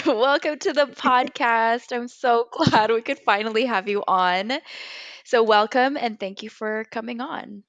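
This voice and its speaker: A woman's voice: a little high, measured pace, fairly bright tone, dry recording, highs cut off. A woman with an American accent from California, co-hosting a podcast in a casual, conversational way. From California, USA. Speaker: podcast co-host.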